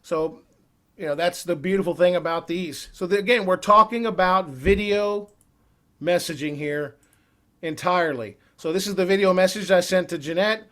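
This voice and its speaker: Guy in his 40s demoing software on a webinar, kinda high voice, upbeat sales vibe. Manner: upbeat salesy walkthrough with quick asides. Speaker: software trainer. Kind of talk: screen-share training webinar.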